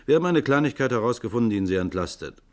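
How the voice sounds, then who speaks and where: An actor playing a brisk, probing police inspector in a 1950s radio crime drama, authoritative and dry, with clipped questions. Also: male voice, medium pitch, sing-song, natural voice. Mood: dry, explaining.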